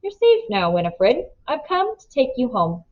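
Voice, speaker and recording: Sinister gentleman caller, played by a female reader: mild, formal, coolly courteous, storybook menace, slightly muffled audio. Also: high voice, huge pitch swings, moderate pace, pitched above the performer's own voice. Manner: formal, smooth politeness. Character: mild, coolly polite, sinister male stranger.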